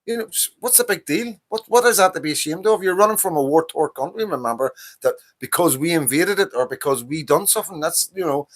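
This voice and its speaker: A man's voice: a little high, animated, a little fast, hardly any pauses. A man in his fifties with a Northern Irish accent, hosting a livestream and ranting about politics in a heated, profane, repetitive way. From Northern Ireland. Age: 50s. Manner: impassioned, ranting, profane, repeats himself. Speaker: livestream host. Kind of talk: casual political monologue.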